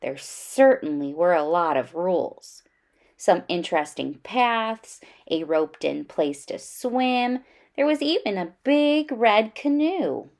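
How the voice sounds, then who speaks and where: A woman reading a children's story aloud in an expressive, animated storytelling style with clear emphasis. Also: lowish voice, takes it slowly.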